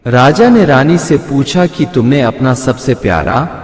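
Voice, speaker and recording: A man's voice: mid-range voice, animated, measured pace, faint music behind. A man narrating a scripted moral bedtime story in an earnest, preachy manner. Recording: echoey hall-like reverb.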